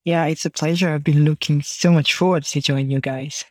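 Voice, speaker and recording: A man from Tanzania, a podcast guest chatting casually and haltingly. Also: on the high side, steady tone, no room sound. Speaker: podcast guest, data scientist. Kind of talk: casual chat.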